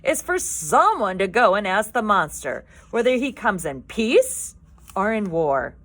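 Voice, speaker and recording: A woman voicing a blustering male Viking chief in a storybook reading, with a pompous, shouting manner. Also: a little high, animated, moderate pace, voice pushed up.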